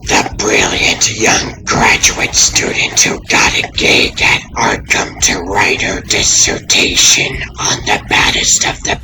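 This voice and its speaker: A man voicing a cruel, taunting young villainess in a comic dub, sneering in a breathy, gravelly whisper. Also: lowish voice. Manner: sneering, contemptuous. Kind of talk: fan comic dub acting. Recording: clear noise behind voice.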